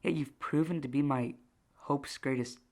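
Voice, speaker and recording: A man's voice: mid-range voice, normal speed, a little mellow, close to an everyday voice. A man playing a melancholic boy in a puppet film, speaking formal, earnest verse. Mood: earnest, defiant. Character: melancholic rhyming boy, bitter yet earnest.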